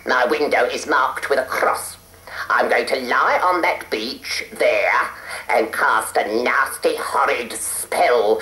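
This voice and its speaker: A man voicing a spiteful, bossy witch in a children's story, shrill and hissing. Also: heavily acted. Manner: shrill, shouting, then hissing whispers. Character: shrieking nasty female witch, bossy and spiteful. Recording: roomy echo with loud hiss.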